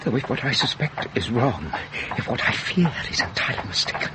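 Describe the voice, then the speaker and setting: An actor playing an anxious, fussy, well-bred British gentleman in a ghost story radio drama, formal and urgent in manner. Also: mid-range voice, very animated, brisk, very noisy, natural voice. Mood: dreadful unease. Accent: British English. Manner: formal, old-fashioned, urgent.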